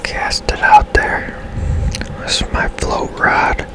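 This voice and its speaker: A man speaking in a breathy, unvoiced whisper, giving casual, unhurried ASMR commentary as he plays a game. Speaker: gaming ASMR host.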